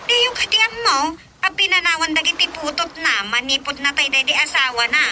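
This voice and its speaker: A woman's voice: high voice, fairly quick, bright, forceful tone, a little hiss or hum. A woman with an Ilocano accent playing a chattering, gossiping daughter calling on the phone in a radio drama comedy. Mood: gossipy. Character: gossiping adult daughter on the phone, named Rosa.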